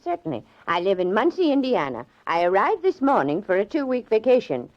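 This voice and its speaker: A woman's voice: medium pitch, huge pitch swings, fairly quick, darkish tone, no echo. An actress playing a spirited, eccentric elderly small-town lady, prim, chatty and insistent, in a 1940s radio drama. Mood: brightly innocent. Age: older. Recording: dull vintage 1940s radio recording.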